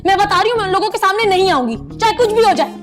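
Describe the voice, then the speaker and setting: A woman's voice: very high-pitched, animated, racing, over music. An actress playing a feisty, defiant young woman in a comedy drama, protesting and pleading dramatically. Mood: indignant. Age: young adult. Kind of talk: Urdu TV comedy drama acting.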